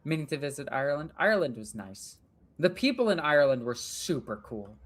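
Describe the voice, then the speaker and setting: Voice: mid-range voice, very animated, brisk. A young adult gaming streamer chatting casually and rambling while playing a strategy game, swearing now and then.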